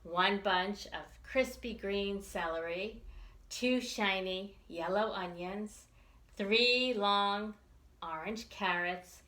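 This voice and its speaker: A woman reading a picture book aloud for young children, expressively and with deliberate enunciation. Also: medium pitch, fairly bright tone, echoey room. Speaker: children's librarian storytime reader.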